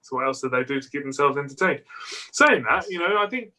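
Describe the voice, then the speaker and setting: A man's voice: on the high side, expressive, fast, pushed and bright. A man in casual chat, easygoing, often trailing off and restarting his sentences.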